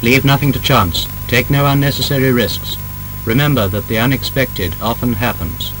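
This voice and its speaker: A man with a British accent reads a scripted 1930s instructional film narration in a formal, emphatic, measured manner. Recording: noisy old film soundtrack with rumble.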